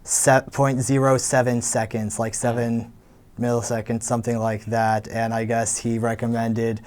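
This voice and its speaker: Teenage young man, flat even intonation, measured, explanatory, casual interview answers. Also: mid-range voice. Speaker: student actor being interviewed.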